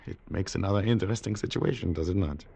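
An actor playing a sly colonial government official in an old radio drama, speaking in slow, formal, foreign-sounding phrases. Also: male voice, low-pitched, creaky, mellow, slightly rough, full character voice. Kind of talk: 1950s radio drama acting. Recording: old radio recording with faint hiss.

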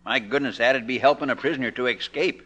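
An actor playing a folksy, stubborn, literal-minded small-town marshal in a 1950s radio comedy. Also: male voice, mid-range voice, quick pace, fairly bright tone, slightly rough. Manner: folksy, plodding. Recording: dull, faintly noisy 1950s radio recording.

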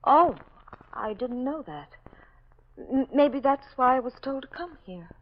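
A woman's voice: sits high, animated, unhurried. An actress playing an anxious, persistent young woman with an earnest, questioning manner in a 1940s radio mystery. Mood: uneasy, searching. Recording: muffled old radio recording.

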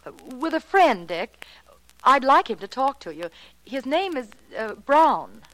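An anxious, trusting young heiress in vintage 1940s radio drama. Her highish voice swings expressively, and she speaks earnestly and politely with a pleading, hesitant edge. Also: sing-song, measured pace, slight background noise, poor audio. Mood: nervous, coaxing.

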